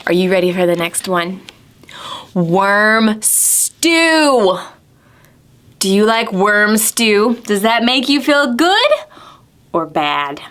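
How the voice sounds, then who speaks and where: A woman presenting storytime to preschoolers, asking simple questions and stressing key words deliberately. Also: medium pitch, expressive, fairly bright tone, clear, resonant tone. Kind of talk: storybook reading and talking to young children.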